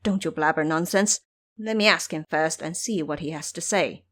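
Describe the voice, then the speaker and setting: A woman's voice: sits low, moderate pace, a bit bright. A woman voicing a timid, fretful elder monk master in an audio drama, anxious and chiding.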